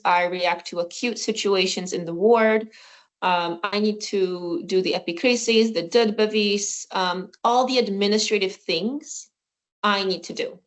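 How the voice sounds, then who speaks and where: Woman doctor explaining her work plainly. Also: fairly low pitch. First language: not Swedish. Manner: explains steps plainly, with many fillers. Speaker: guest doctor. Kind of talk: online presentation talk. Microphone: phone.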